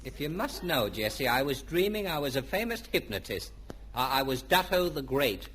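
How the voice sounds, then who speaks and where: An actor playing a bumbling, self-important middle-aged dad in old-time radio comedy, hesitant and pompous. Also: male voice, mid-range voice, very animated, moderate pace, fairly bright tone. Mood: defensive, stubborn. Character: bumbling, self-important family dad dreaming he's a stage hypnotist. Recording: echoey old radio recording, faint hiss.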